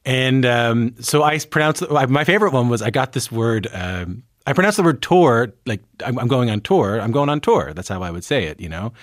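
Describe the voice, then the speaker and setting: A man's voice: mid-range voice, expressive, normal speed, hardly any pauses, dry recording, studio quality. A man with a Newfoundland accent hosting a radio interview, chatty and self-deprecating. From Newfoundland, Canada.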